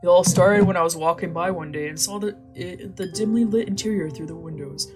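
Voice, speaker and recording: Low-voiced woman reading a visual novel. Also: fairly quick, a little mellow, breathy edge, soft background music. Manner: reads narration, then drops into joking asides. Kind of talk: casual read-aloud with chatty asides.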